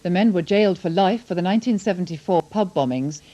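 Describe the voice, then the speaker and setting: A woman's voice: lowish voice, fast talker, warm tone, highs cut off. A woman reading scripted television news in a studio. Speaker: news reader.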